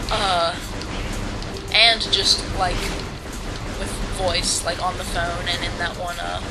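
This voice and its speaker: A sixteen-year-old boy with a high-pitched voice, giving live gameplay commentary in a hesitant, rambling way with many ums and restarts. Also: creaky, low-quality recording. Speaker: let's play commentator. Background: video game music.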